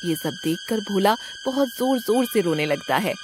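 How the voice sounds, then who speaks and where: A woman's voice: mid-range voice, lively intonation, normal speed, a little mellow, slight background noise. A woman narrator reading a scripted children's story.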